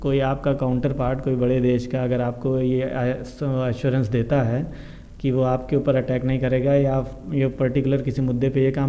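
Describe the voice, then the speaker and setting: A very dark, mellow male voice with an Indian accent, heard through a dull, lo-fi recording. He explains current affairs to viewers informally, circling back over his points and dropping in English terms. His pitch sits at an average level for a man. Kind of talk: informal news explainer commentary addressed to viewers. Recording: dull, muffled lo-fi sound.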